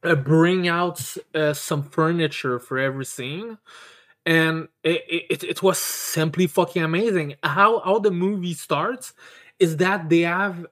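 Halting, slow-paced, excitable podcast host. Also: medium pitch. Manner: halting, stammering, repeats words; excitable, sweary, emphatic. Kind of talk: casual chat, enthusiastic review.

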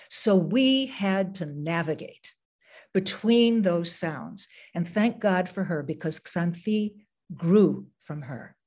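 A woman's voice: medium pitch, a little mellow. Woman author, native Greek speaker, reflective, on a video call, with lots of ums. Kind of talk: reading aloud, then talking about her writing.

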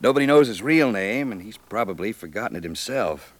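Contented frontier editor, male actor: American, neighbourly and easygoing, slightly low register, 1950s radio acting. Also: lively intonation, a little fast, no room sound, lightly acted. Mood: neighbourly, helpful. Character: affable, contented small-town newspaper editor, married man. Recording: vintage 1950s radio recording.

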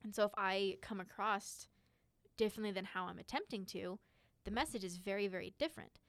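A young college woman talks eagerly and earnestly in a casual podcast chat. Her pitch sits in the middle range. The recording is dry and close-miked. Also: brisk, no echo. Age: young adult. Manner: enthusiastic, talkative, earnest.

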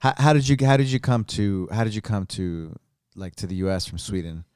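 A man's voice: low-pitched, animated, brisk, a little mellow, clear, resonant tone, no room sound, clean studio sound. A man hosting a casual interview, enthusiastic, restarting his questions with lots of fillers. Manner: enthusiastic, repeats himself, many fillers. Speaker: interview host.

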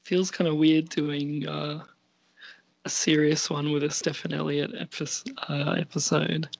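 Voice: medium pitch, flat delivery, measured pace, creaky, no room sound, poor audio. A podcast co-host chatting casually in a hesitant, self-deprecating way. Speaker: co-host and script writer. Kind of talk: casual chat.

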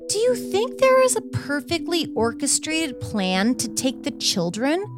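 A woman playing a needy, besotted lover in a theatrical dramatic reading, with a yearning, drawn-out delivery. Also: high-pitched, huge pitch swings, pitched above the performer's own voice, exaggerated voice. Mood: searching, lost. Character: besotted, needy woman sending secret love texts. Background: music.

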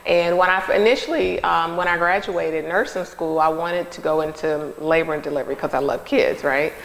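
Gravelly-voiced woman telling her story. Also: deep voice, brisk. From North Carolina. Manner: friendly, storytelling with fillers. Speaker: guest, nurse and company founder. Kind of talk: casual interview talk. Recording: hall with long echo.

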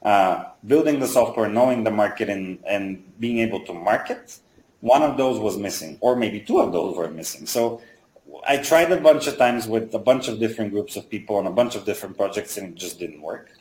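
A man's voice: on the low side, animated, fairly quick, darkish tone, echoey room, rough recording. A man from Bulgaria, in his thirties, answering interview questions in a thoughtful, step-by-step way with frequent fillers. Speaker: guest, software company founder.

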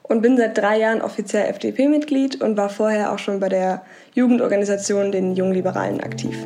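A woman's voice: mid-range voice, fairly even intonation, fairly quick, dark tone. A woman in her twenties from eastern Germany, introducing herself in an upbeat, polite way. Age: 20s. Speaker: party youth representative.

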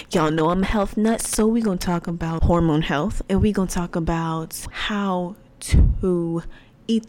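Young woman podcaster in her 20s, chatty and animated, slangy, mid pitch. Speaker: podcast host. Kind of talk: casual faith podcast talk.

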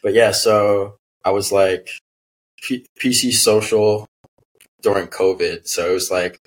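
A man's voice: fairly low pitch, fairly even intonation, unhurried, low-quality recording. A man in his twenties from Staten Island, chatting casually as a guest, laid-back and rambling with lots of fillers. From Staten Island, New York. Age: 20s. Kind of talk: casual chat.

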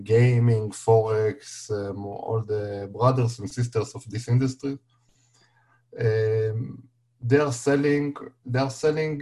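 A man with an Israeli accent, a native Hebrew speaker, answers in an interview. His voice is mellow and dark at an ordinary pitch. He speaks haltingly and restarts his sentences often. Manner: halting, many fillers and restarts. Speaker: guest. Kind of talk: casual interview.

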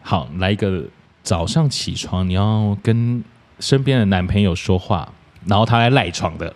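A man with a low voice hosts a relaxed interview chat. He is playful and joking, often repeating a phrase as he questions his guest. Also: normal speed. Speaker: podcast host.

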